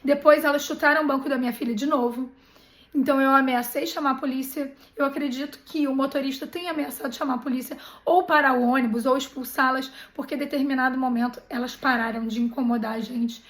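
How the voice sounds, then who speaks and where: High-voiced Brazilian woman telling a story. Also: quick pace. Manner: animated, indignant retelling with many restarts. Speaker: travel channel host. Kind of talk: personal storytelling vlog.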